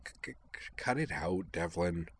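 A shy teenage girl played by a man stammers softly through each sentence in a storybook reading. Her voice stays hushed and a little higher than plain speech. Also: expressive, unhurried, breathy edge, heavily acted. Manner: heavy stutter.

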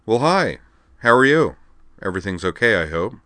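A man voicing a middle-aged father and ship captain in an audiobook, easygoing and faintly amused, turning brisk when giving orders. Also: lowish voice, very animated, sharp tone, no echo, voice pushed up. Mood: genial. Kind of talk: audiobook character voice.

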